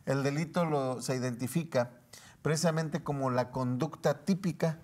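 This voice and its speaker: A man's voice: on the high side, moderate pace, a little mellow. A man with a Mexican accent speaking as a commentator on a panel talk show, explaining legal terms step by step in a didactic way.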